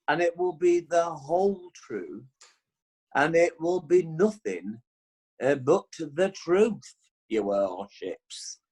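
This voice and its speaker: An actor playing a solemn, self-important police detective in a comic radio play, halting and deliberate. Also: male voice, fairly high pitch, heavily acted, rough recording.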